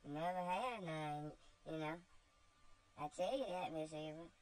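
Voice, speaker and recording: A woman voicing a mischievous, defiant young girl who speaks in broad dialect with flat bluntness. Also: sits low, a little slow, pitched above the performer's own voice. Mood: blunt, defiant.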